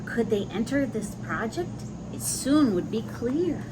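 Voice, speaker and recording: A woman with a somewhat high voice reads a picture book to young children. She moves between the story text and plain little explanations of the pictures. The recording has noticeable noise behind her. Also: very animated, unhurried. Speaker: host reading children's picture books aloud. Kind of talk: children's read-aloud with simple asides.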